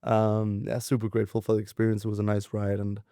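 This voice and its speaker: A man in his twenties from Denmark, raised in southern France, telling his career story in a relaxed interview, with lots of fillers and restarts. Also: on the low side, fast talker, dry recording, studio quality. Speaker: guest, venture capitalist.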